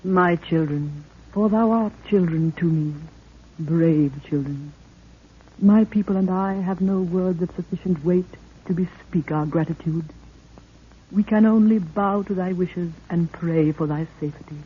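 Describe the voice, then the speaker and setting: A woman's voice: on the low side, unhurried, breathy edge. An actress playing a regal, solemn queen who speaks stately archaic English, in 1930s radio drama style. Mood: grave blessing. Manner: stately, formal archaic speech. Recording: tinny, band-limited 1930s radio recording.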